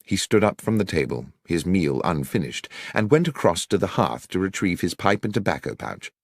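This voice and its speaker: Male British audiobook narrator, lowish voice, highly varied intonation, dry close sound. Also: sing-song, normal speed, creaky, no echo. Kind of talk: scripted first-person story narration.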